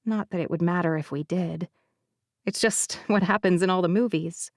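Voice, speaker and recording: A woman's voice: on the low side, moderate pace, dry recording. Wry woman narrating an audiobook, dry sound. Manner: wry, confiding, conversational. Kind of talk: first-person romance audiobook narration.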